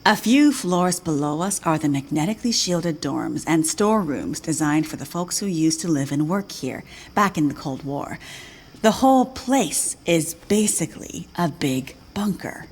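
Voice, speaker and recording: An actress playing a grave older female scientist in a video game cutscene, speaking in a measured, solemn way. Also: low voice, animated, slightly rough, exaggerated voice. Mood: knowing.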